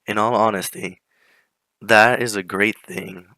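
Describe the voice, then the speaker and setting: Teenage boy, hoarse flu voice, low pitch, bright tone, dry close sound, casual student presentation. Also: fairly even intonation, measured pace, no room sound, poor audio. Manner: casual, many fillers, clears throat often. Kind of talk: class presentation with slides.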